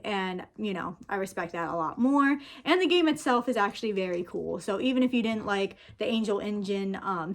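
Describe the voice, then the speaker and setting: Young woman, casual chatty vlogger. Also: mid-range voice. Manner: informal, heavy on slang and filler "like". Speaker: video host. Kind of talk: casual chatty rant-style vlog.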